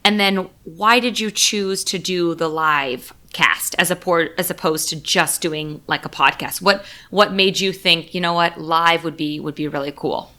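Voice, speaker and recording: A woman's voice: fairly low pitch, measured pace, few pauses, pushed and bright. A woman hosting a podcast interview in a casual, chatty way, effusive and informal in how she talks. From United States. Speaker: podcast host.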